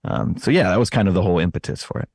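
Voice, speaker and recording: A man giving casual, conversational interview answers, often pausing and correcting himself as he finds his words. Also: deep voice, expressive, creaky, a little mellow, slightly rough, dry recording, studio quality. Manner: hesitant phrasing, self-correcting, chatty. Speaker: podcast host appearing as interview guest.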